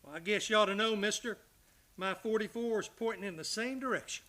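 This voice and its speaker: An actor playing a loyal, hot-headed, folksy cowboy in a Western radio drama, speaking emphatically. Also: male voice, sits high, fairly quick, sharp tone. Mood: wry.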